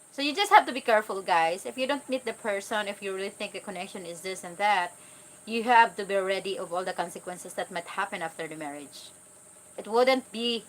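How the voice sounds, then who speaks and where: Filipina accent woman, 30s, casual candid livestream chat, mid pitched voice. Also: slight background noise, poor audio. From Philippines. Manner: candid, informal, talking directly to viewers. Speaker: vlogger answering live chat comments.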